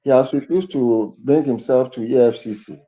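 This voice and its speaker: A man gives news commentary as a panelist in a very dark, soft-edged voice of medium pitch. His delivery is halting, with repeated words as he builds his point. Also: low-quality recording.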